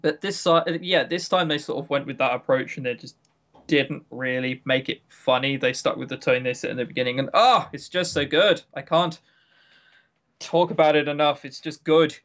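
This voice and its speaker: A man with a fairly high voice co-hosts a casual review podcast. He speaks with enthusiasm and strong emphasis, and likes to joke as he makes his points. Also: fairly even intonation, moderate pace, a bit bright. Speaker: podcast co-host.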